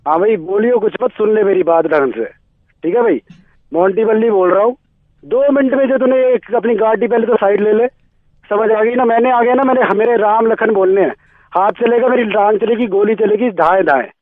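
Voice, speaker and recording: Man acting a high-voiced blustering Delhi tough. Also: moderate pace, no echo, natural voice. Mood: threatening. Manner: blustering, slangy, interrupting. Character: swaggering, threatening Delhi tough guy, boastful local strongman. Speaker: radio prank caller. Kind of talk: comic prank phone call. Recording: tinny narrow phone line, dry.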